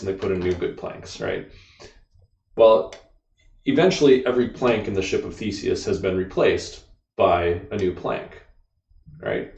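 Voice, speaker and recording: American male co-host, rambling explainer, lower register, distant mic. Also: a little mellow. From United States. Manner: explains ideas at length, frequent "like" and "you know". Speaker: podcast co-host. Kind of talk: casual discussion. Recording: dull, echoey room, off-mic.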